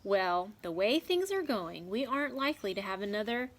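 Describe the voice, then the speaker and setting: A woman's voice: mid-range voice, expressive, moderate pace. A woman voicing a worried, practical male farmer in a children's storybook, emphatic and fretful, in a noisy home recording. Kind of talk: children's storybook read-aloud.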